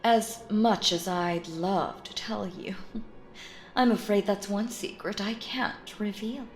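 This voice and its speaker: Slow, sinister woman magician played by an actress, echoey. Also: medium pitch, steady tone, soft background music, natural voice. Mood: secretive, grandiose. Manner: cooing endearments, slipping into breathy menacing whispers. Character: sinister, possessive yandere woman stage magician, sweetly menacing. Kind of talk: intimate audio roleplay, theatrical monologue.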